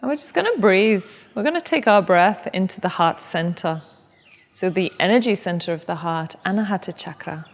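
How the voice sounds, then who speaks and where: A woman who teaches yoga, leading a guided meditation in a soft, gentle, unhurried way. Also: mid-range voice, expressive, long reverb tail. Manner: soft, slow and gentle guidance.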